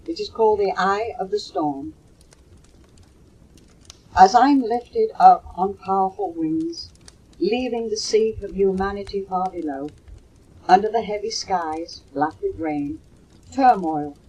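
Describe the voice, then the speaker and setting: An older woman with a husky voice, reciting poetry slowly and expressively on a low-fidelity home cassette recording. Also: expressive, a little mellow, poor audio. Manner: slow, measured, dramatic storytelling delivery. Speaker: mother reading poems for her children.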